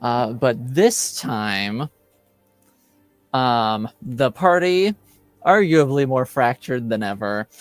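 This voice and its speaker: Male game master, very slow halting phrasing, clean resonant voice, dry close sound, casual recap style. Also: medium pitch, animated, no echo, faint music behind. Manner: measured, halting, pausing between phrases.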